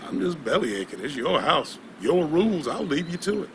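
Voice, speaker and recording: A man playing an older, stubborn, protective father in a video game drama, talking in a gruff, folksy, grumbling way. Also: a little high, big pitch swings, normal speed, fairly bright tone, slight background noise. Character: stubborn, protective old father who cannot walk.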